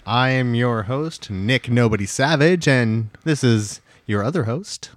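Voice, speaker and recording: A man's voice: mid-range voice, measured pace, no room sound. A man hosting a podcast in an easygoing, chatty way, chuckling along. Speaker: podcast host. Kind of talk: casual podcast chat.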